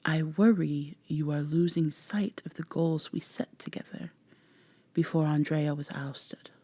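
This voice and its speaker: A woman voicing a haughty, teasing vampire in a formal, measured, condescending way for an ASMR roleplay. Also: sits low, steady tone, mellow, husky, no echo, exaggerated voice. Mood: grave concern. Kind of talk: soft-spoken ASMR roleplay acting.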